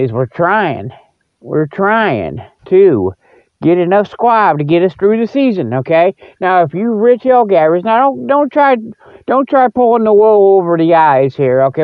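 A man with a notably high voice hosts a loose, rambling comic radio monologue. His delivery is folksy and colloquial, teasing the listener as he goes. The sound is dull and lo-fi, with very little room echo. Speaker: radio show host. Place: cold spot, apparently outdoors.

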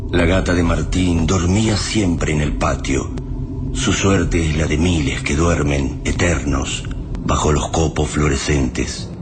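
A man's voice: a bass voice, steady tone, takes it slowly. A man with an Argentine accent narrating a radio drama as a grave, literary storyteller of an apocalypse. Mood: solemn. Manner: solemn, literary. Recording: clearly noisy radio play track.

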